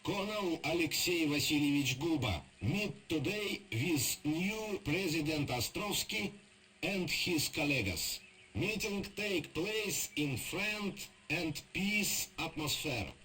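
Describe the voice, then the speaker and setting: An obedient state newsreader delivers a game's radio bulletin in stiff broken English. The Russian-accented voice is high, sharp and slow, with formal, halting phrasing. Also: male voice, expressive, slight background noise, heavily acted. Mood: officious. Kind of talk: scripted propaganda radio news bulletin.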